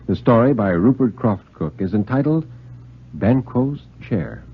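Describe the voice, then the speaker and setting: A man giving a dramatic 1950s radio reading of a story, with literary, deliberate phrasing. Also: medium pitch, expressive, very noisy. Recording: muffled vintage 1950s radio recording.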